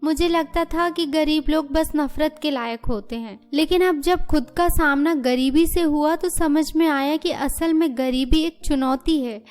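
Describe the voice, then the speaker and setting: A woman's voice: high-pitched, unhurried, hardly any pauses, darkish tone, faint music behind. A woman playing a spoiled, haughty young rich businessman's daughter in a cartoon story, mixing English into her Hindi. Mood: humbled, earnest. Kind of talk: animated moral story dialogue.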